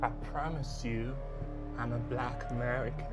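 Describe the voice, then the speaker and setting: A man's voice: sits high, lively intonation, normal speed, over loud music. A man playing a scammer who fakes an American voice on a call, begging and stammering. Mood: pleading. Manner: pleading, stammering, hushed. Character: male scammer faking an American accent on a call.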